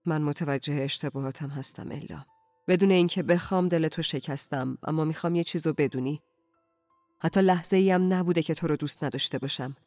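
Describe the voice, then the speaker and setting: A woman's voice: on the low side, darkish tone, no echo, soft background music, natural voice. A woman voicing an agitated middle-aged husband in a novel, insistent and reproachful. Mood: urgent pleading. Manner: insistent, accusing, pleading.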